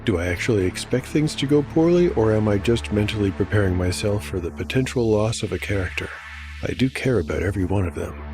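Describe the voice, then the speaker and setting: Male solo game master, low pitch, narrates rolls and reflects aloud over clear music. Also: lively intonation, fast. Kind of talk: solo tabletop actual-play narration.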